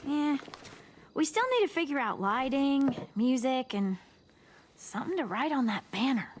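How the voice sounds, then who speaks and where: A woman's voice: high-pitched, animated, normal speed, slight background noise. A woman playing a chirpy, bustling teenage party organizer in a video game, with an upbeat, folksy manner. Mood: upbeat, thoughtful.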